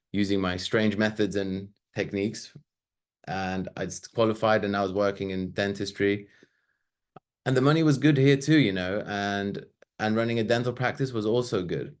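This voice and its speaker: Chatty low-voiced man presenting a webinar. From Sweden. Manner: loose and chatty, with fillers and asides. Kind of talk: casual slide-based webinar talk.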